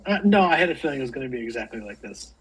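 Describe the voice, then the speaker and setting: A man's voice: mid-range voice, big pitch swings, very fast, fairly bright tone, rough recording. A man on a video call podcast, joking dryly and teasing the host. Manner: dry, teasing. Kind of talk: casual chat over video call.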